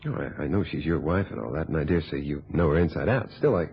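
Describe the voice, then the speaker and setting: Very husky, deep-voiced puzzled visitor. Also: male voice, animated, fast, full character voice. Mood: puzzled, put out. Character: puzzled male visitor, easygoing but increasingly exasperated. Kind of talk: radio thriller acting. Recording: muffled, dull old radio drama recording.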